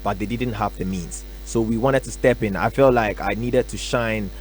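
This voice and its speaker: A young man from Ghana, a musician answering radio interview questions in a relaxed, soft-spoken way with many fillers. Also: fairly low pitch, normal speed, soft background music, rough recording. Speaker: musician guest. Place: radio studio.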